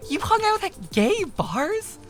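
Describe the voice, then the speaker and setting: A woman voicing an excitable, curious younger woman in a visual novel, exclamatory and teasing, with a breathy edge. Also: extremely high pitch, takes it slowly, soft background music, voice pushed up, full character voice. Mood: amazed, teasing.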